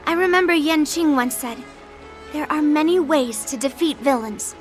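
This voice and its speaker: A woman voicing a poised, formal young female mentor who guides gently in an anime-style game. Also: high-pitched, moderate pace, soft background music, close to an everyday voice. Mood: gently encouraging.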